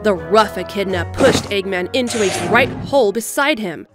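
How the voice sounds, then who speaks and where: Lady narrator reading an audio drama story, mid pitch, music playing behind her. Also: animated, normal speed. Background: background music.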